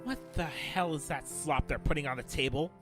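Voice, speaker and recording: A man's voice: fairly high pitch, normal speed, music in the background, close to an everyday voice. A man playing a haughty, irritable rich father in an audio drama, speaking with pompous disdain. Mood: indignant, disdainful. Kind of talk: fan audio drama acting.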